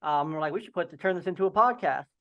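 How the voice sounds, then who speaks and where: A young man talks in a loose, rambling way and leans on lots of fillers, with a slight lisp and the odd stutter. His voice sits a little high and comes through smooth and resonant. The video-call audio sounds dull and lo-fi. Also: expressive, quick pace, fairly bright tone. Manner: rambling, filler-heavy storytelling. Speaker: podcast host and guest. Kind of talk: casual video-call interview.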